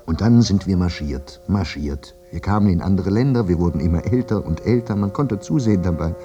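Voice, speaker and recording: An actor playing a haunted, war-maimed soldier who recalls the war in a reflective, self-questioning radio drama monologue. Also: male voice, low voice, expressive, fairly quick, mellow. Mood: numb, weary. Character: war-maimed soldier brooding over his memories, haunted, plain-spoken. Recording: muffled radio play recording with music. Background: music.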